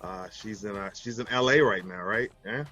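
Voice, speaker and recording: Man, low voice, interview host, friendly, joking, faint background music. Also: moderate pace. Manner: friendly, joking, asks warm follow-up questions. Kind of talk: casual interview chat.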